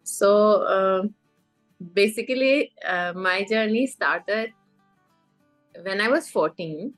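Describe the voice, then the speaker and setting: A woman's voice: mid-range voice, expressive, slow, faint music behind, low-quality recording. A middle-aged woman from Iran, a life coach, telling her story in a podcast interview with many fillers and restarts.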